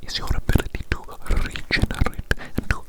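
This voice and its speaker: A breathy, fully whispered ASMR voice in the role of an eccentric mad doctor doting on a tiny specimen. The delivery is soothing and deliberate, circling back over words and phrases, very close to the mic. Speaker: ASMR performer. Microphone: close ASMR microphone.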